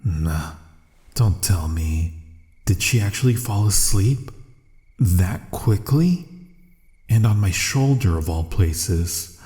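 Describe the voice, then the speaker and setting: A man acts out a secret assassin undercover as a courteous bodyguard for an audio roleplay. His voice is soft, dark and mellow, sitting fairly low. He speaks with dry, restrained exasperation beneath his good manners. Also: expressive, takes it slowly, pauses often. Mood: flustered, softening. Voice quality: soft, gentle voice. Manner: restrained, dry, inner monologue and courteous address. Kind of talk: ASMR roleplay audio acting.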